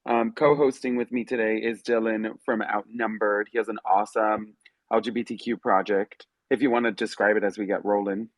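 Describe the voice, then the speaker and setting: A man's voice: fairly low pitch, fairly even intonation, a little fast, no room sound. A young man from Miami hosting a casual live audio chat, upbeat and chatty, with many fillers. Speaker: host.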